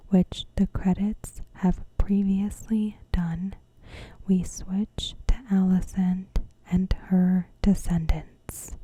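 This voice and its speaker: A woman narrating a recap in ASMR style, in a hushed, breathy whisper with measured delivery. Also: fairly low pitch, low-quality recording. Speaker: ASMR video host.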